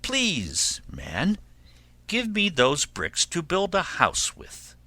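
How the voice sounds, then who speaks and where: A man's voice: a little high, wildly swooping pitch, slow, bright, forceful tone, no room sound, voice pushed up, full character voice. A man voicing a small, plucky, polite little pig in a children's fairy tale, with an emphatic, childlike delivery. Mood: polite pleading.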